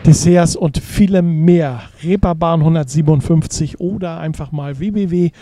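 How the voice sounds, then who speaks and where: High-voiced, dark-toned radio host. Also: male voice, normal speed, no echo. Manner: upbeat, reads sponsor copy, asks short prompts. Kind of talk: radio interview.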